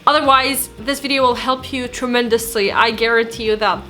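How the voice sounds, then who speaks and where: A woman's voice: a little high, fairly even intonation, bright, forceful tone, soft background music. A woman hosting her interior design channel, talking casually and upbeat with a guest and laughing often. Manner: upbeat, chatty, quick to laugh.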